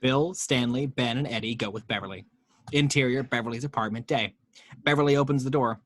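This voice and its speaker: A man's voice: mid-range voice, fairly quick, fairly bright tone, no echo. Man reading screenplay action in a dry room. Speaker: reader of action descriptions in a table read. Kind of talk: scripted narration of screenplay directions.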